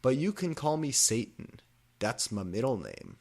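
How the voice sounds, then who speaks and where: A man's voice: medium pitch, huge pitch swings, gravelly, a little mellow, slightly rough, pitched above the performer's own voice, heavily acted. A man voicing a secretive, brooding young goth schoolboy in a story. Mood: introducing himself. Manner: drawn-out, secretive.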